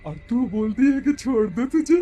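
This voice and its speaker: A man voicing a bloodthirsty female witch in a cartoon horror story, gloating and cackling in slow menace. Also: very high voice, lively intonation, dark tone, faint music behind, pitched above the performer's own voice. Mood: menacing.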